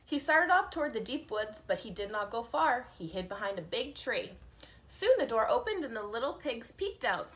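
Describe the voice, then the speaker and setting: A woman reading a picture book aloud to children as a teacher, in an expressive storytelling style. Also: fairly high pitch, moderate pace, slight background noise.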